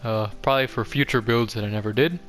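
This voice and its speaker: A man's voice: mid-range voice, steady tone, fast talker, fairly bright tone. A young man giving a casual, offhand game tour commentary, rambling along in a self-deprecating way. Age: young adult. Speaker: gaming video host. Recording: music behind the voice. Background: background music.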